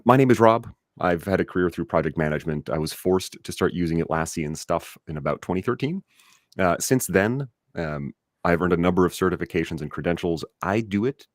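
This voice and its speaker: A man's voice: low voice, dry recording, studio quality. A man hosting a live online session, chatty and upbeat, speaking straight to his audience over a video call. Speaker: host and trainer.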